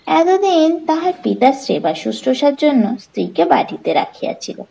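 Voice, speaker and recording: A woman reading a literary story aloud as an expressive storyteller, in dramatic audiobook narration. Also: on the high side, gravelly, a little hiss or hum, poor audio.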